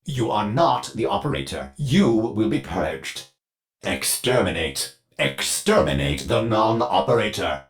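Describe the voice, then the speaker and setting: A man's voice: lowish voice, very animated, measured pace. A man voicing a fussy, servile ship computer AI in a video game, speaking in a chirpy, formal and theatrical way with an undercurrent of violent glitches. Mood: cold, menacing. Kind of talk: video game character voice lines.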